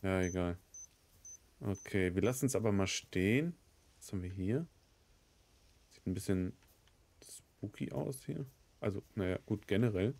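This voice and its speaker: A man's voice: on the low side, unhurried, creaky, pauses often, a little mellow. A man in his thirties with a cold, giving casual, sweary gameplay commentary as a let's play host. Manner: sweary, muttering reactions to the game. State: has a cold, coughing.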